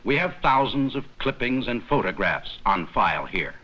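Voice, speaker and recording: A man reading scripted 1950s educational film narration in a measured, explanatory manner with simple, plain sentences. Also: mid-range voice, sing-song, bright, forceful tone, dull audio. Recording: worn, noisy 1950s 16mm film soundtrack.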